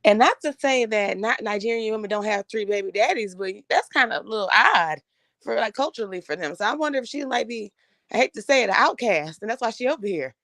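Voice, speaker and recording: A fast-talking woman with a creaky edge chats as a panelist. She is conversational, qualifying her points and slipping into imagined quotes. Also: fairly high pitch, expressive, fairly bright tone, no room sound. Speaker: panelist, nurse. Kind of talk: casual chat.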